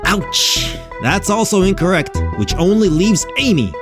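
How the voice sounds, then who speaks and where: A slick game show host from an audio drama, played by a man. His voice is fairly high and very animated, with showy, sardonic mock sympathy. Music plays behind him. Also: a little slow.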